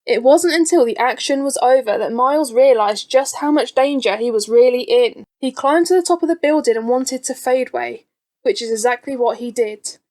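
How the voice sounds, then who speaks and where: A woman's voice: on the high side, moderate pace, a little mellow. A woman reading a scripted children's storybook as an audiobook narrator. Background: faint background music.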